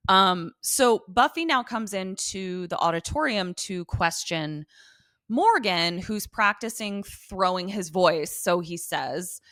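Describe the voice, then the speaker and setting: A woman's voice: on the low side, lively intonation, slow, a bit bright, dry recording, studio quality. A woman co-hosting a casual TV recap chat, talking in slangy, sweary, informal language. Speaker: podcast co-host.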